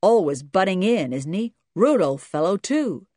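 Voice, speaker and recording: A woman's voice: fairly low pitch, sing-song, a little slow, warm tone, dry recording, studio quality. A woman voicing a boastful, burly American father in a storybook, hearty and crass in manner. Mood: sneering.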